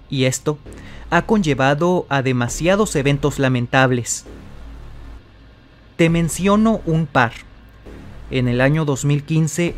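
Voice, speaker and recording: A man narrating a mystery video from a script, speaking straight to the viewer in a dramatic tone. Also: a little high. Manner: dramatic, addresses the viewer directly. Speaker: channel host narrator. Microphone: voice-over.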